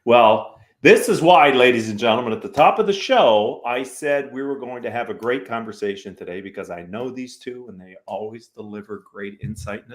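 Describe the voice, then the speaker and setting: American man hosting a business talk show. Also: fairly low pitch. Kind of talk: conversational business interview.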